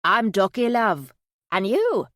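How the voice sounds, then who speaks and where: A woman's voice: mid-range voice, wildly swooping pitch, takes it slowly, a bit bright, no room sound, clean studio sound, pitched above the performer's own voice, exaggerated voice. A woman voicing a cheery, affectionate Yorkshire woman in an audiobook, effusive and full of endearments. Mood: affectionate, chirpy. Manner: chirpy, effusive, uses endearments.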